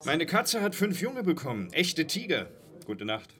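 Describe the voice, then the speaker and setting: An actor playing a brusque, grumbling and brazenly unbothered village innkeeper in a German radio drama, chatty and glib. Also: male voice, fairly high pitch, big pitch swings, unhurried, a bit bright, slightly rough, heavily acted. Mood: glib, obliging.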